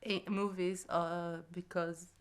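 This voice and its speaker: A woman's voice: fairly low pitch, little pitch movement, takes it slowly, a little hiss or hum. A woman from Brazil with a Brazilian Portuguese accent, chatting casually in broken English and laughing often. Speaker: podcast guest. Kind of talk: casual chat.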